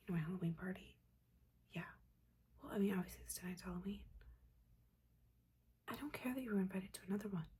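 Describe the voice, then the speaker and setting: A woman voicing a judgmental but caring witch mentor in a breathy, chatty, chiding whisper, in a soft-spoken ASMR roleplay. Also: medium pitch, slight background noise, close to an everyday voice. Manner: chatty, chiding, casual slangy asides.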